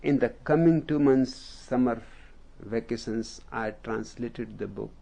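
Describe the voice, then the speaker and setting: Man, Indian accent, native Hindi speaker, spiritual teacher, storytelling discourse, drawn-out delivery, gravelly voice. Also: medium pitch, mellow, a little hiss or hum. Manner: deliberate, unhurried, with long pauses between phrases.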